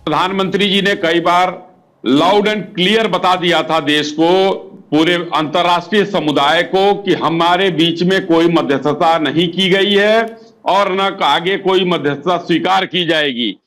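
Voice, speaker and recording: A man's voice: sits high, measured pace, a bit bright, faint music behind. A man arguing insistently as a party spokesman on a political TV debate. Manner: insistent, combative.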